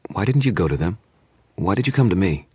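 A man voicing a weary private eye who questions quietly and bluntly. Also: rumbling low, animated, brisk, husky, dry recording, heavily acted. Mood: pressing. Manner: quiet and clipped.

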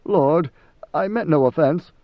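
A man's voice: fairly high pitch, a little slow, no echo, lo-fi sound, natural voice. A man voicing an anxious, devoted male major domo who speaks in a pleading, deferential way.

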